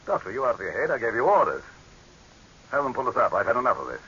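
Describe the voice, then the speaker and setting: An actor playing a pompous, imperious dictator in a 1930s radio horror drama, condescending and commanding, easily irritated. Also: male voice, mid-range voice, big pitch swings, fast, pushed and bright, husky, exaggerated voice. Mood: angry, alarmed. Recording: hissy, muffled old radio recording.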